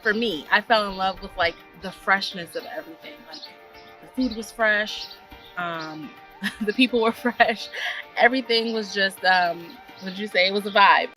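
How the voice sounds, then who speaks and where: A woman's voice: mid-range voice, normal speed, bright, forceful tone, soft background music, rough recording. An American woman chatting casually and bubbly about moving abroad, with lots of "like". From United States. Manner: bubbly, uses fillers like "like". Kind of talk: casual personal account.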